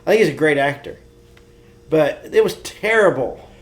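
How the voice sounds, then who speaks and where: A man's voice: a little high, animated, normal speed. A man co-hosting a podcast, chatting casually about pop culture in a blunt, opinionated way. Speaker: podcast co-host.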